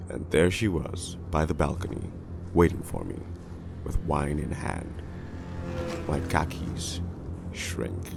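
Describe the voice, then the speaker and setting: A man voicing a cynical, world-weary crooked police detective, narrating an animated noir storybook in a brooding, deliberate, gravelly way. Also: a bass voice, noisy background, heavily acted. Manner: brooding, hard-boiled, deliberate. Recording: music bed behind the voice.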